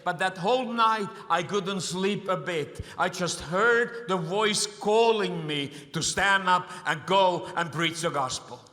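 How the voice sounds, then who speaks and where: A man's voice: high voice, takes it slowly, hardly any pauses, sharp tone. A man interpreting a sermon line by line, retelling it in an earnest, emphatic way. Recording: reverberant hall.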